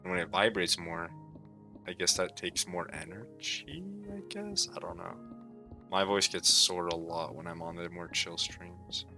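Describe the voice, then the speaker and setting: A tired young man with a sore throat, rambling laid-back and low on a chill stream, with plenty of "like" fillers. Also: lively intonation, unhurried, creaky, over music. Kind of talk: casual rambling stream talk.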